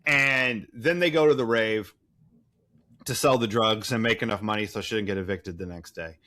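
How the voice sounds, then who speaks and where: A man's voice: on the low side, moderate pace, pushed and bright. A man co-hosting a podcast, casually recapping a movie in a rambling, irreverent, profane way with wry, flippant asides. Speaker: podcast co-host.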